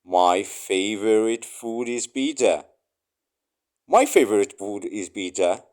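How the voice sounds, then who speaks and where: A man reading short English phrases aloud for learners, saying each one three times slowly and clearly. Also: lowish voice, lively intonation, a little mellow.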